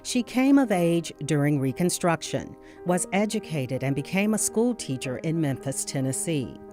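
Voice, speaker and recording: A woman narrates a historical documentary from a script. Her voice sits on the low side and her delivery is measured and carefully enunciated, with music clearly behind her. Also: expressive, mellow.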